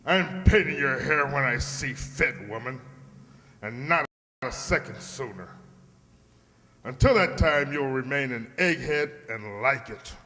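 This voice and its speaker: A man's voice: mid-range voice, lively intonation, unhurried, sharp tone. A man playing a haughty, temperamental portrait painter with sardonic humor in a comic radio play, imperious and pompous. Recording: reverberant hall stage recording.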